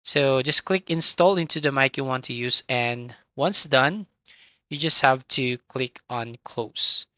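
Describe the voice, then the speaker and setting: A tutorial host giving step-by-step software instructions in an instructional manner, with a voice recorded close to the microphone. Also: mid-range voice, measured pace, no echo.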